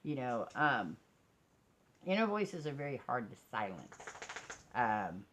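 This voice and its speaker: A woman with a strikingly low voice talks in a casual personal vlog. She rambles informally and candidly, drifting between thoughts and often changing track mid-sentence. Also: very animated, slow, fairly bright tone, a little hiss or hum. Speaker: vlogger.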